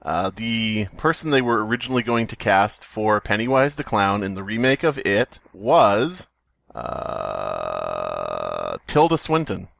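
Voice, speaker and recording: Male podcast host, jokey casual banter, lively swooping pitch, dry close sound. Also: slow, vocal fry, dry recording. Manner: jokey, riffing.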